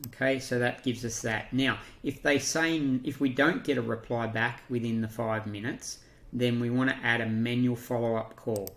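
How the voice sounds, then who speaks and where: An Australian man giving an unscripted software walkthrough, talking through each step haltingly as he thinks aloud. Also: medium pitch, fairly even intonation. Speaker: software tutorial presenter. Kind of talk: unscripted screen-share walkthrough.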